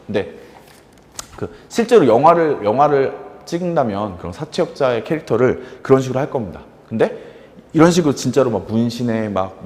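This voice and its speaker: A man's voice: mid-range voice, a little mellow, in a large hall. A man teaching fiction writing in a casual lecture, full of fillers and acted-out example lines.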